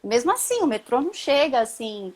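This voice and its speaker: Chatty São woman, fairly high. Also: a little fast. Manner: chatty, relaxed, many tag questions and restarts. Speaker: chemistry professor, guest. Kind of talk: casual interview on a live stream.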